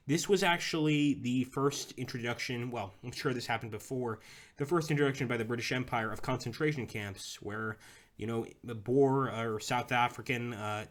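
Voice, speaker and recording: A young man streams a strategy game and rambles as he plays, greeting viewers and talking through each move. His voice sits at an ordinary middle pitch, and the talk is loose and unscripted. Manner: rambling, chats with viewers, talks through moves. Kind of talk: casual live-stream game commentary.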